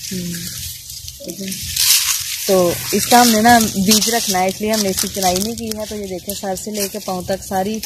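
A woman with a Punjabi accent talks casually into a phone camera, explaining as she goes with plenty of filler phrases. Her pitch sits in the middle, and the outdoor recording carries clear background noise. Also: steady tone, normal speed. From Punjab, Pakistan. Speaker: village vlogger. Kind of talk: casual vlog narration. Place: outdoors in a cotton field. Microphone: handheld phone camera.

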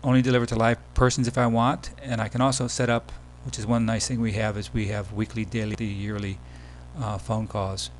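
Low-key man narrating, faint hum behind. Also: flat delivery, brisk, hardly any pauses. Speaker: software demo presenter. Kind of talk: casual screencast tutorial narration. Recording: background hum and noise.

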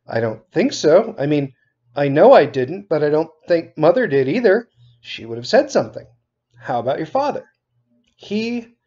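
A man's voice: on the high side, darkish tone. A middle-aged man, a teacher reading aloud to students from his couch at home. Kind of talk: read-aloud of a children's novel with casual introduction. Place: living room at home, on a couch. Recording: dull, lo-fi webcam sound.

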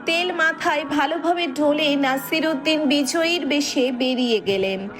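A woman narrates a scripted story in a high-pitched voice. Faint music runs beneath her reading.

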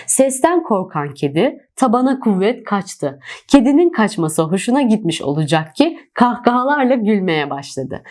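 A woman reads a scripted fairy tale for children. Her voice is a little high and highly animated in pitch. She tells it expressively, as a storyteller would.

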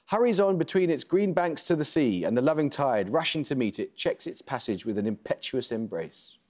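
A man in his forties hosts a televised quiz from a studio desk, his speech clipped and precise. His pitch rises and falls lively through each question. He sits at a middle pitch and keeps a dry, formal tone. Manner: crisp, measured delivery with dry asides. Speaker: quiz show host. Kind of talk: formal quizmaster reading questions and introductions. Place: large TV studio set, seated at a desk.

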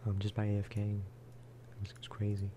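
Man, soft-spoken ASMR gaming chat, lowish voice, flat intonation, unhurried and rambling. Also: a little hiss or hum. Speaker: gaming video creator.

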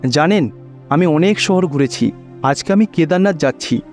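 A man's voice: fairly high pitch, lively intonation, normal speed, natural voice. A man playing a kindly, helpful young traveller in a cartoon, polite and reassuring. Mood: friendly. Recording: faint music behind.

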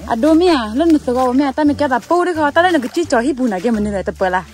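A woman's voice: high-pitched, animated, very fast, darkish tone. Giggly young woman chatting in a forest. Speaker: vlogger. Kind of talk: casual chat while cooking outdoors. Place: forest hillside outdoors.

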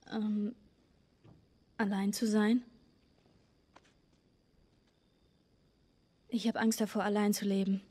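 A woman voicing a caring, candid teenage survivor girl in a German video game dub, gentle and hesitant in manner. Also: mid-range voice. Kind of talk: German video game dubbing, naturalistic dialogue.

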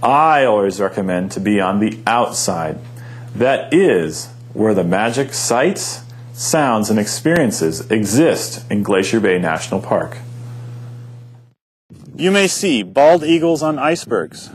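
A man's voice: fairly low pitch, very animated, slow pace. A man working as a park ranger, giving visitors upbeat scripted advice in a guide's delivery. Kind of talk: scripted informational narration.

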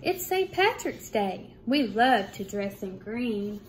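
A woman, a grade-school teacher speaking in measured, simple phrases as in a read-aloud for young children. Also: mid-range voice, sing-song. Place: small room at home, indoors. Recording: clear background room noise.

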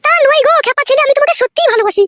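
A woman voicing a sharp-tongued middle-aged wife in a cartoon comedy, with a rural West Bengal accent, crude nagging delivery and an artificially pitched-up voice. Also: no echo. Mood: worried. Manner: crude, nagging, rapid-fire.

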